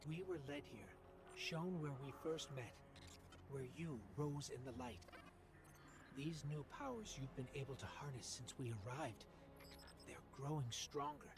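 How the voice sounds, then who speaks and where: A man voicing a small, loyal robot companion in a video game, earnest, measured and encouraging. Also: fairly high pitch, expressive. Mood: earnest, hopeful.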